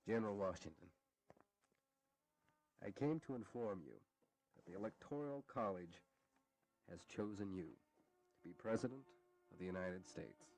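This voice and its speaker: An actor playing a formal, solemn messenger of the founding era in a historical audio drama. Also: male voice, lowish voice, moderate pace, pauses often, darkish tone, close to an everyday voice, low-quality recording.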